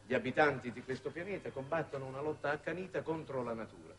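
An actor playing an idealistic, wordy head of a space commission in a 1960s Italian television drama, oratorical and halting. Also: male voice, medium pitch, expressive, close to an everyday voice. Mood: calm, persuasive. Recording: noisy old television soundtrack.

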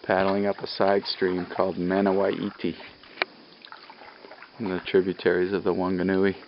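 A man filming a canoe trip, making quiet remarks outdoors on a river in a gorge. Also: low voice, monotone, moderate pace. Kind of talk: casual travel narration. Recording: dull sound with clear river noise.

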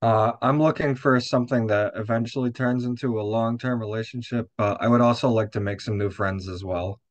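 A man's voice: mid-range voice, steady tone, brisk. A man aged thirty giving casual answers as a dating show guest on a video call. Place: room at home, video call.

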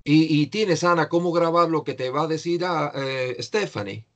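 A man's voice: fairly high pitch, normal speed, a bit bright. An older man teaching English in an online class, patiently explaining pronunciation to a student over a video call.

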